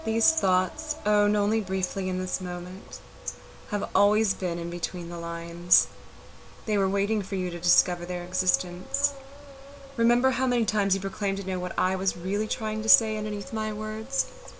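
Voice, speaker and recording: A woman reciting a poetic spoken-word narration for a video art piece, measured and reflective. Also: mid-range voice, fairly even intonation, poor audio. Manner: measured, reflective, unhurried delivery. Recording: voice-over with clear background noise.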